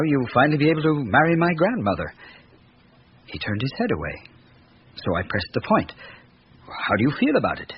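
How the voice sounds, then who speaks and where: Well-bred young gent in an old radio play, thoughtful, halting, very lively pitch, muffled hissy sound. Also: male voice. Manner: reflective, articulate, hesitant in recalling. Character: earnest, well-bred grandson of an aristocratic French family, a witness. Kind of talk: radio drama acting.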